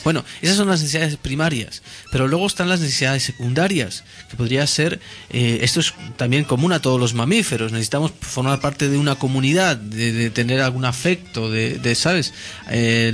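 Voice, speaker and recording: A man's voice: medium pitch, a bit bright. A man explaining ideas in casual conversation, hesitant, with many fillers and restarts.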